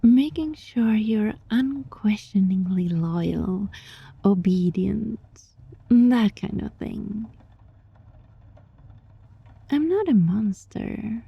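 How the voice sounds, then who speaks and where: A woman voicing a sly, teasing, controlling yet gentle fox-spirit roommate in an ASMR roleplay, with a breathy voice that often drops into a whisper. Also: medium pitch, no echo, dull audio, exaggerated voice. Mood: reassuring, coaxing. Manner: slow, teasing, softly menacing. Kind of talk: ASMR roleplay, scripted monologue addressed to listener.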